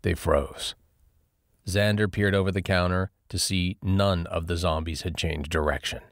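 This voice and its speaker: A man's voice: sits low, fairly even intonation, a little slow, no echo, clean studio sound. A man narrating a scripted story as an audiobook reader.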